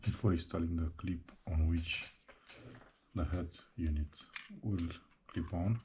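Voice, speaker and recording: A man narrating a hands-on how-to tutorial step by step, speaking haltingly in short broken phrases. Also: low voice, noisy background. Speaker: presenter of a how-to installation tutorial.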